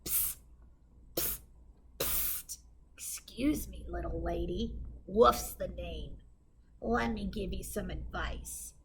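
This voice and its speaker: A woman voicing a sly, starving male storybook wolf in a breathy, furtive whisper. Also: lowish voice, slow pace, pauses often, slight background noise, pitched below the performer's own voice, poor audio. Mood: sly, hushed. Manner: furtive, smooth-talking.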